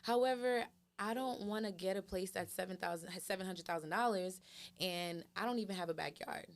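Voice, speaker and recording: A woman from Florida, a daycare owner, chatting casually and telling animated, slangy stories on a podcast. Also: medium pitch, fairly quick.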